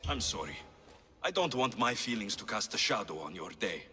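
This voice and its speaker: An actor playing a young, skeptical Greek mercenary hero in a video game, wry and matter-of-fact. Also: male voice, fairly low pitch, sing-song, normal speed, bright, forceful tone. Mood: guarded. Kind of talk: video game dialogue acting.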